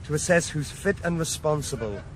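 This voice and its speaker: An actor playing a brisk, exasperated lawyer friend in a radio drama. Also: male voice, on the high side, animated, a little hiss or hum, lightly acted. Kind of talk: 1980s radio drama acting.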